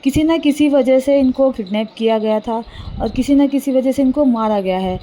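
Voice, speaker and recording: A woman with a fairly high, mellow voice explains a case in an informal, unscripted way. She repeats and corrects herself as she goes. Faint noise sits behind her voice. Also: normal speed. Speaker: vlogger recounting a news case. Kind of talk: informal, unscripted news recounting.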